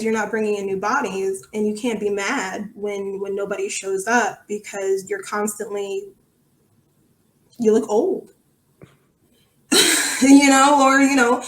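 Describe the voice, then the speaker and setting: A woman giving conversational, outspoken and emphatic answers as a podcast guest. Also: mid-range voice. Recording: echoey room sound.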